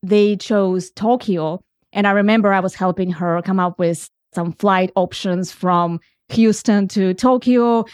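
A woman's voice: mid-range voice, measured pace, darkish tone, smooth, no echo. A middle-aged woman from Ukraine, hosting a reflective solo podcast in an earnest, explanatory way. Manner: earnest, explanatory, thinks aloud with restarts. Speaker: podcast host.

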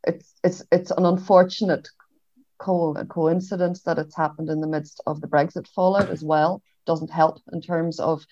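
A woman's voice: on the low side, flat delivery, dark tone, no echo. Hesitant Derry woman, dull lo-fi sound. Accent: Derry, Northern Irish. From Derry, Northern Ireland. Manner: hesitant, reflective, measured. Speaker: historian, panellist. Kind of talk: panel discussion. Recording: dull, lo-fi online audio.

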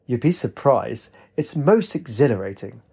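A man's voice: medium pitch, animated, normal speed, vocal fry, a little mellow, breathy edge, heavily acted. A man voicing a joyful, docile elderly golfer with a genteel, mild manner in a story. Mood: genteel, content.

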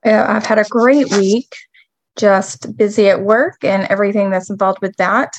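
A woman's voice: medium pitch, fairly even intonation, poor audio. A woman co-hosting a podcast as a dietitian, chatting with eager enthusiasm. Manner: enthusiastic, bubbly, quick bursts. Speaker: podcast co-host, registered dietitian. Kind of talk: casual chat.

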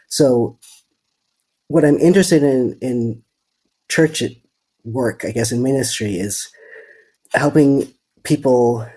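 A man's voice: mid-range voice, takes it slowly, long pauses, warm tone, breathy edge, low-quality recording. A man from the Netherlands, a seminary student, answering interview questions thoughtfully and hesitantly with many fillers.

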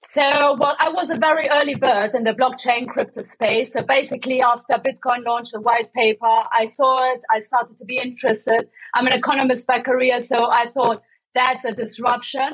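A woman's voice: fairly high pitch, steady tone, normal speed, fairly bright tone, slightly rough, rough recording. A woman from Germany, a guest on a podcast, giving upbeat, emphatic short answers. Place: home setup.

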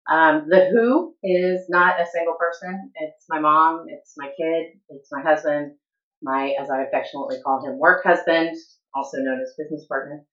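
A woman guest talking candidly in an interview, laughing often and pausing with "um" between thoughts. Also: sits low, fairly even intonation, fairly bright tone. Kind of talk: casual interview talk. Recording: echoey room, dull lo-fi sound.

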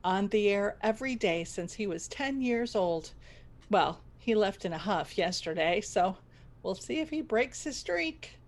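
A proud woman who runs a struggling radio station, played by an actress, speaks in a brisk, dryly theatrical way. She is stubborn and businesslike, in the style of a radio comedy. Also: mid-range voice, animated, close to an everyday voice. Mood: forced optimism. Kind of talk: scripted radio comedy acting.

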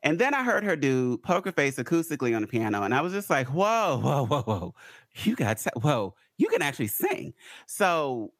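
A man co-hosting a casual pop culture chat, talking in an animated, rambling way. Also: on the high side, dry recording, clean studio sound. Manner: animated, rambling, quick to rant. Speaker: podcast co-host.

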